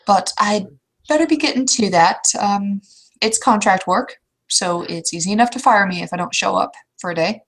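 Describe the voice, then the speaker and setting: A woman's voice: mid-range voice, normal speed, fairly bright tone. A woman playing a blunt, kindly, world-weary female troll in a casual tabletop roleplay. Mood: hurried.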